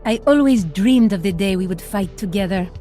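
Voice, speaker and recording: An actress playing an earnest, dutiful grown daughter and soldier in a video game, speaking with calm sincerity. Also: female voice, mid-range voice, normal speed, soft background music, natural voice.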